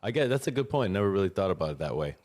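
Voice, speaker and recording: A man with a very deep voice hosts a relaxed interview. He keeps it casual, agreeing and laughing along often. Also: racing. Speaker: podcast host.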